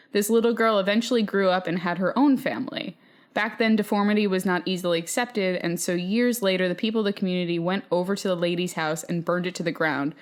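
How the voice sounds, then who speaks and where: A woman podcast host reading listener stories aloud in a casual, bantering way, with playfully exasperated asides. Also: lowish voice.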